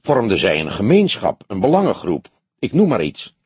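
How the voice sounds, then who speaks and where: A man voicing a calm, courteous old police detective in an audiobook, questioning formally and probingly. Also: fairly low pitch, moderate pace, husky, dry recording, full character voice. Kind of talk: audiobook character dialogue.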